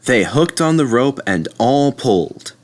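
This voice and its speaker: A man's voice: medium pitch, big pitch swings, a little slow. A man narrating a children's story as a storybook reader.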